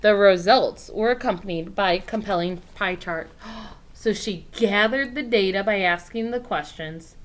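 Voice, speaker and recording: A woman reading a picture book aloud to children, expressively, pausing to explain things in simple asides. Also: mid-range voice. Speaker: read-aloud storyteller.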